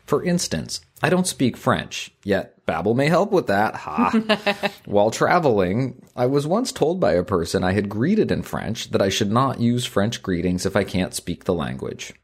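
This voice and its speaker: A man's voice: on the low side, sing-song, unhurried, husky. A man co-hosting an etiquette advice podcast, talking things through thoughtfully and reading a listener letter aloud. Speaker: podcast co-host.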